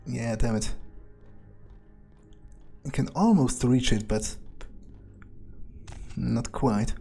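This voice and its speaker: A man playing a cynical, arrogant vampire in a game, musing haltingly to himself with plenty of swearing. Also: medium pitch, creaky, dark tone, slightly rough, faint music behind, heavily acted. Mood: calculating, irritated. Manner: musing, halting, foul-mouthed. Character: cynical, arrogant, cold-blooded male vampire hitman. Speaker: gamer playing in character. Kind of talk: in-character game playthrough monologue.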